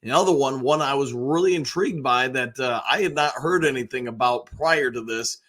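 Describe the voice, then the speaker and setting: Slightly hoarse American man hosting casually. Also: medium pitch, fairly quick, a bit bright. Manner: chatty, rambling, conversational. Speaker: sports show host, basketball coach and realtor. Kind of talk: casual live fan-show commentary.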